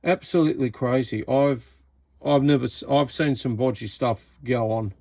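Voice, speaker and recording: A man with an Australian accent presents a casual hands-on radio repair demo. His mid-pitched voice is recorded dry, and he talks informally, sounding baffled and exasperated by what he is showing. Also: moderate pace, warm tone, no echo. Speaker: radio repair vlogger and seller.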